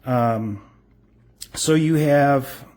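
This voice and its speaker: A man's voice: medium pitch, very slow, darkish tone. A middle-aged man giving a casual, unscripted product review in an informal, rambling, explanatory way.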